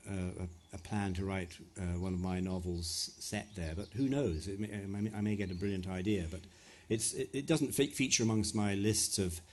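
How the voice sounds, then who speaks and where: Hesitant, low-voiced middle-aged man. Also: normal speed, hardly any pauses, darkish tone, a little hiss or hum. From West Africa (Ghana, Nigeria). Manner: hesitant, thoughtful, many restarts. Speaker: author answering audience questions. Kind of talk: live Q&A at a literary talk. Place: event venue before an audience.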